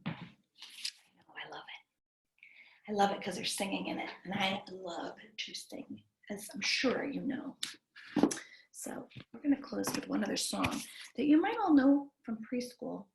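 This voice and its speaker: A woman reading a storybook aloud to young children in an animated, expressive way, breaking off to chat with them. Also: medium pitch, a little slow, creaky, pauses often, in a whisper. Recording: roomy, echoing livestream sound.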